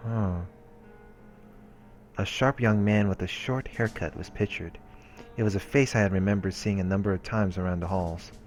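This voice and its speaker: A man's voice: low-pitched, fairly even intonation, normal speed, pauses often, a little mellow. A man hosting a let's play, reading visual novel narration aloud with casual asides and the odd stumble over words. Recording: music under the voice. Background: visual novel background music.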